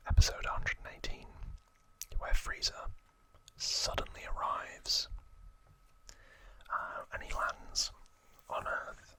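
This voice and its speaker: ASMR host: whispered throughout, slow and level, informal storytelling, close-miked. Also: bright, forceful tone, rough recording. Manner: casual, informal recap with repeated words for effect.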